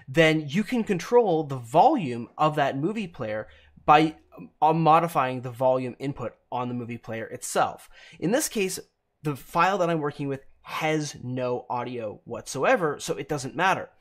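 A man in his thirties presenting a software tutorial, explaining deliberately phrase by phrase, close to the mic. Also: fairly high pitch, animated. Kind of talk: instructional screencast narration.

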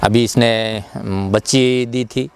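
A man's voice: fairly low pitch, fairly even intonation, slow, gravelly, clear, resonant tone. A middle-aged man, a horse farm caretaker, answering interview questions outdoors in a polite, hesitant way, with many fillers, on a clip-on mic. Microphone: clip-on lapel mic.

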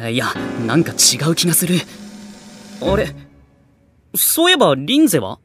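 An actor playing a polite, easily flustered teenage hero in an anime audio drama, reacting with exasperation. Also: male voice, fairly high pitch, wildly swooping pitch, normal speed, over music. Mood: bemused.

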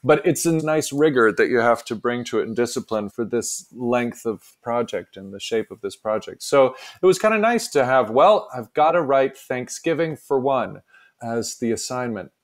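A man giving casual interview answers as a playwright, halting and self-deprecating as he thinks aloud. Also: medium pitch, expressive.

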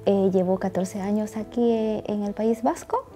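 A woman in her forties from El Salvador, speaking in a measured, reflective way. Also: medium pitch, steady tone, loud music behind the voice. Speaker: interviewee. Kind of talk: reflective testimony. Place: bright indoor hall.

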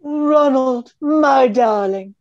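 A woman's voice: high-pitched, extremely slow. A woman playing a fretful, romantic, melodramatic heroine with theatrical delivery in an old-style radio play. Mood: adoring. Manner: theatrical, fluttery. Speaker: actor. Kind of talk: 1930s radio acting. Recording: dull lo-fi audio.

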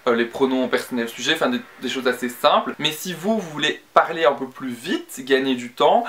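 A young man talks straight to his webcam from his bedroom, sharing study advice in a chatty, earnest way. He stumbles over fillers and restarts his sentences as he goes. His voice sits at an ordinary pitch for a man. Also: fairly bright tone. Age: young adult. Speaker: student vlogger. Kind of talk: casual study-tips vlog. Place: bedroom with bookshelf and screen.

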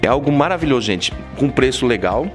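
A man's voice: medium pitch, lively intonation, a little fast, faint music behind. A Brazilian man in his thirties hosting a casual TV interview, chatty and affirming. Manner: chatty, affirming "sem dúvida". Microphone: handheld mic.

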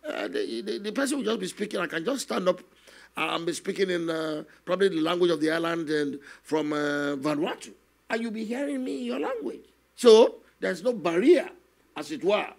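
An older man, a guest minister telling his testimony slowly and earnestly in a studio interview, often adding "you know". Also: high voice, animated. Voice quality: gravelly, husky. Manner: slow, earnest storytelling with many "you know" asides. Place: television studio, seated on a set. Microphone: stand microphone in front of him.

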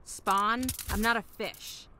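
A woman's voice: mid-range voice, fairly even intonation, takes it slowly, close to an everyday voice. An actress voicing a cocky young woman soldier who trades sassy, sarcastic banter in a video game. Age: young adult. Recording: noisy game audio.

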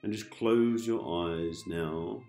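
A man guiding a sleep hypnosis session in a calm, gentle manner, giving repetitive soothing instructions with pauses. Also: lowish voice, reverberant, soft background music. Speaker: hypnosis host, meditation guide.

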